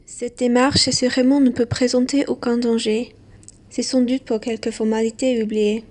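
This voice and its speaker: A woman playing a prim, brisk bourgeois lady traveller in an amateur radio play, proper and self-assured. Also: on the high side, flat delivery, darkish tone, close to an everyday voice.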